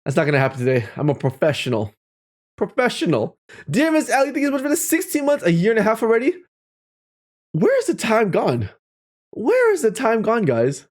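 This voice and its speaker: Animated livestream host with swooping pitch. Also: a little fast. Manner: playful, exclamatory, repeats phrases for effect. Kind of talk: casual livestream chat to viewers.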